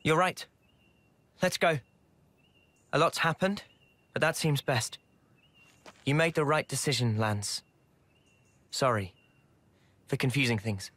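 An actor playing a calm, thoughtful, empathetic young soldier in a video game. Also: male voice, mid-range voice, takes it slowly, long pauses, no room sound. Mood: subdued.

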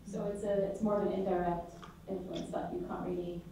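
A woman in the audience asking a polite, measured question at a talk. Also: lowish voice, level tone, mellow, far from the mic, a little hiss or hum. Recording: echoey room picked up from afar.

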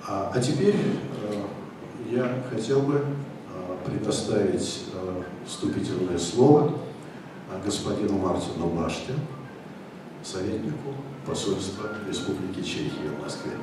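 Male event host, deeper voice, pausing with fillers, heard from afar in a big hall with noise. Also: expressive. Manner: measured, hesitant with fillers. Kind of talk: formal event moderation. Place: large conference hall. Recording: echoey hall from far off, background noise.

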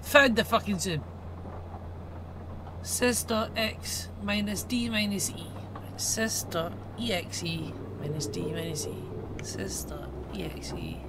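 A woman streaming a game, reading commands aloud under her breath and cursing at the screen. She talks slowly and drifts between mutters and outbursts. A steady hiss of noise sits behind her. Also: medium pitch. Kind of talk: live gameplay commentary.

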